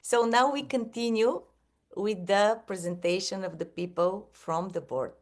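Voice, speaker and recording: A woman's voice: mid-range voice, a little slow. A woman moderating an online celebration over a video call, politely directing the participants. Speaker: event moderator.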